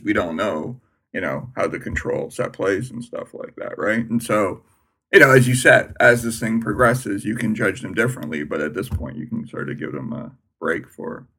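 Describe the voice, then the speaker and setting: A man's voice: lowish voice, lively intonation, normal speed, pushed and bright, breathy edge. A man on a soccer panel talking conversationally, often tagging points with a question. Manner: conversational, tags with "right?". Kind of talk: casual chat.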